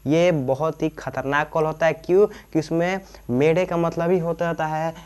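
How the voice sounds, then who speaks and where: A man's voice: on the high side, fairly even intonation, normal speed, darkish tone, smooth. A man, teaching informally, repeating himself and asking questions that he answers himself. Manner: repetitive, asks and answers own questions, frequent check-in tags. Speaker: teacher explaining aviation terms. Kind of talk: informal explainer lesson.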